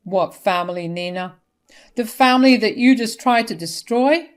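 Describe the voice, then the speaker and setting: A woman's voice: a little high, normal speed, voice pushed up, lightly acted. Woman acting a stern, indignant older husband. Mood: indignant anger. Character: angry, morally firm older husband divorcing his wife.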